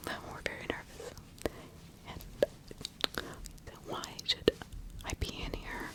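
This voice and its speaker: A woman voicing a frightened poor farmer accused of witchcraft in an ASMR roleplay, in a breathy whisper, halting and repeating anxious questions. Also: lightly acted. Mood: nervous, scared.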